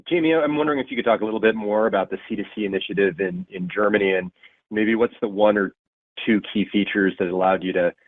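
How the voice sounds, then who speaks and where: A deep-voiced man asks questions as an analyst on an earnings call. He talks quickly and conversationally over a thin telephone connection. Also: no echo. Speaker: financial analyst asking a question. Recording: dry tinny phone line.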